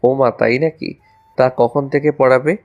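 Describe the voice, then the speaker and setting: একজন পুরুষের অভিনয়ে দুষ্টু, রোমান্টিক কলেজপড়ুয়া ছেলে, পেছনে বাজনা. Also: male voice, mid-range voice, steady tone, measured pace, lo-fi sound, soft background music, close to an everyday voice. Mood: polite. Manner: playful, mock-innocent, teasing. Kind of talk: audio romance story acting.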